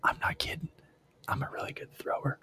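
A man whispering a rambling, profane camping story in a relaxed, self-amused way. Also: a little fast. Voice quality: breathy whisper.

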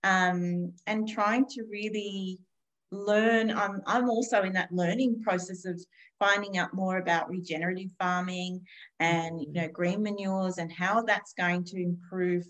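A woman's voice: fairly low pitch, fairly even intonation, measured pace, fairly bright tone, poor audio. A woman in her fifties talking thoughtfully and unhurriedly in a casual conversation, with frequent restarts. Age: 50s. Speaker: guest.

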